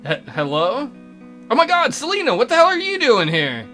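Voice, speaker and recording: A man's voice: very high-pitched, wildly swooping pitch, moderate pace, bright, forceful tone, soft background music, voice pushed up, exaggerated voice. A man voicing an exasperated, awkward young male tutor in a visual novel. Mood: startled, exasperated.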